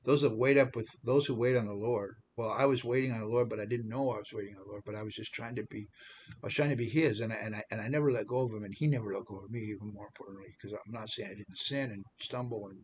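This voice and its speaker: A man's voice: lowish voice, fairly even intonation, fast talker, darkish tone, a little hiss or hum. Rambling seventy-year-old American man. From United States. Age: 70s. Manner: rambling, repeats words and restarts, self-deprecating. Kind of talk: personal testimony monologue.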